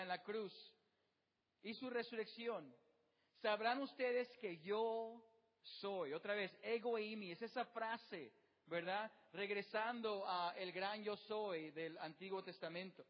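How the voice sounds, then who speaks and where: High-voiced male preacher in a hall. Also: dull audio. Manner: rhetorical questions, frequent fillers. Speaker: pastor. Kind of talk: sermon.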